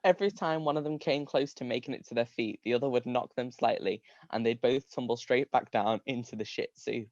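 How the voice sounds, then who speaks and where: A man with a mid-pitched voice reads a comic story aloud to a live stream. He sounds amused, tends to laugh through his lines and often stumbles and restarts. The sound is dry and slightly dull. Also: fairly quick, hardly any pauses, darkish tone, no echo. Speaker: reader of comic fan fiction.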